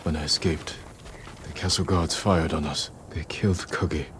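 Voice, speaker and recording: An actor playing a stoic, dutiful samurai outlaw in a dramatic game cutscene, speaking in a solemn, measured, earnest manner. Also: male voice, a bass voice, fairly even intonation, a bit bright, a little hiss or hum. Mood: solemn, regretful. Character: stoic, dutiful samurai man turned outlaw, guilt-laden but resolute.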